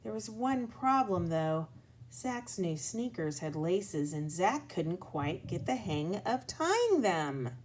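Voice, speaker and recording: A woman teaching through a children's read-aloud, in a slightly low voice. She is deliberate and expressive, taking her time with very animated pitch. There is faint noise in the recording. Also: rough recording. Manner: expressive, deliberate, pausing to address child listeners.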